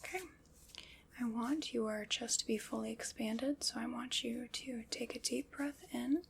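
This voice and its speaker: A woman voicing a calm, methodical skeleton armorer, whispering polite step-by-step instructions in an ASMR roleplay. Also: a little high, unhurried, vocal fry, slight background noise. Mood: gentle instructive.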